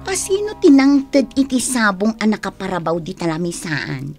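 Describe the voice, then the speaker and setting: An actress playing a prying, cautioning young best friend, with an Ilocano accent and admonishing manner in a radio drama. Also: female voice, medium pitch, huge pitch swings, normal speed, a little mellow, soft background music. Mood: nosy.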